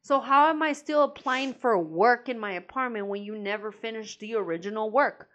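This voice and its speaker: A woman's voice: on the high side, normal speed, a bit bright, dull audio. A young woman with a Mexican accent co-hosting a podcast in casual chat, laughing often and swearing freely. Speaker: podcast co-host.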